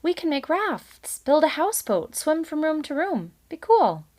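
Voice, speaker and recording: A woman voicing a young mouse child in a storybook, complaining and pleading in a whiny, drawn-out way. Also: high-pitched, sing-song, darkish tone, pitched above the performer's own voice. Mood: eager.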